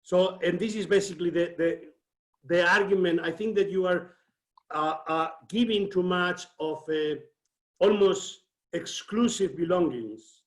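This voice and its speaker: A man's voice: high-pitched, measured pace. A man from Spain, asking a long, thoughtful question over a video call with many hesitations. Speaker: seminar participant asking a question.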